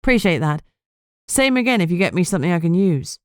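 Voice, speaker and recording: A woman's voice: on the low side, animated, fast, clear, resonant tone, no echo, lightly acted. A determined woman investigator, acted by a female narrator, talks bluntly and gets straight to business. The audiobook sound is dry and close. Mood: exasperated. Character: brusque, determined female investigator questioning her informant. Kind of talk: audiobook character dialogue.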